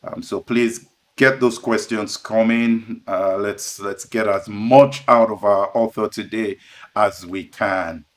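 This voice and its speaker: A man's voice: on the low side, steady tone, takes it slowly. A middle-aged man co-hosting a live online author interview show, speaking indoors over a video call. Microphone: video-call microphone.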